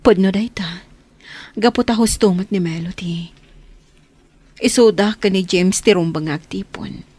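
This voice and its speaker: A husky, mid-pitched woman's voice with an Ilocano Filipino accent. An actress plays a gentle woman in love who puts others first, in an audio drama. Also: measured pace, pauses often, no echo. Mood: pained, resigned.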